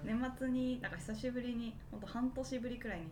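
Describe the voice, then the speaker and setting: A woman's voice: on the high side, steady tone, fairly quick, distant mic, crowd noise. A woman co-hosting a podcast, telling personal anecdotes in a casual, self-deprecating, confessional way. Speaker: podcast co-host.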